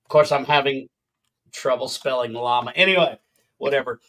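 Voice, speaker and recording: A man's voice: mid-range voice, moderate pace, a bit bright. A man hosting a casual podcast over a video call, joking and rambling with his guests. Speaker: podcast host. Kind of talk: casual chat on a livestream.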